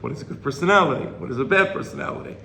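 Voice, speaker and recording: A slightly hoarse man giving an informal religious lecture to a study group, explaining and checking in with rhetorical questions. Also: a little high, lively intonation, racing, pushed and bright. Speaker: Torah teacher. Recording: roomy echo, faint background noise.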